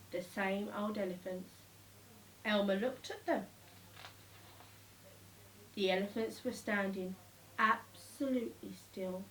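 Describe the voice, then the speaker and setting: A woman reading a children's storybook aloud, with expressive, deliberate phrasing and emphatic repetition. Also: fairly high pitch, slight background noise. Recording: slightly distant microphone.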